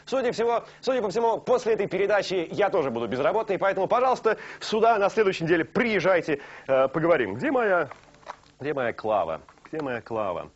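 Casting: young male late-night host, slightly high voice, wildly lively delivery, teasing jokes, dull lo-fi broadcast tape. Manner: joking, teasing, lively and animated. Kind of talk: playful live studio banter. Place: studio set, on a sofa.

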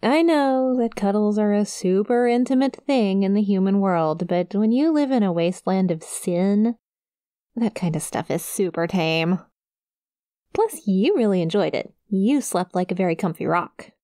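A woman's voice: mid-range voice, animated, moderate pace, warm tone, dry recording, clean studio sound, close to an everyday voice. A woman playing a friendly, awkward young succubus demon in an intimate ASMR roleplay, with a shy, casual, hesitant manner. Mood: teasing. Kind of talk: ASMR roleplay, intimate one-sided conversation to the listener.

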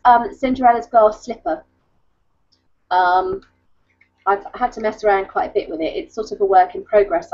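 A woman in her thirties chatting casually as she shows crafts, often interrupting herself, on a video call from home. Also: fairly high pitch. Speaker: craft blogger. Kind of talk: casual craft show-and-tell. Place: book-lined room at home. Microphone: webcam video call. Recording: dull lo-fi video call audio.

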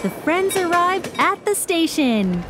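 A woman narrating a children's cartoon in a gentle, clear storybook manner. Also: extremely high pitch, sing-song, takes it slowly, heavy background noise.

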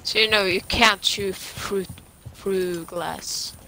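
A woman's voice: medium pitch, fairly even intonation, slow, background noise. A woman from Sweden chatting bluntly while playing a shooter, swearing freely. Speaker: teammate. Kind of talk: casual gaming chat.

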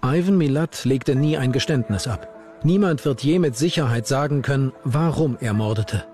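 A man's voice: mid-range voice, moderate pace, darkish tone, faint music behind. A man reading scripted narration for a true-crime documentary.

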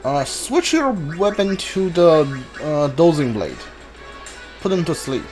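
A gamer talks through a playthrough in a casual way, thinking aloud in halting fragments. The pace is slow and the pitch is mid-range but moves around a lot, with game music playing behind. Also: very animated, darkish tone. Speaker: gamer, streamer.

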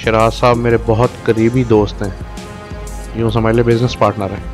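A man's voice: lowish voice, little pitch movement, fairly quick, faint music behind. A man improvising roleplay as a courteous mafia boss, speaking in a formal, composed and polite way. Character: courteous mafia boss newly arrived in town. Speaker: roleplay player and channel host. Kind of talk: improvised in-character roleplay dialogue.

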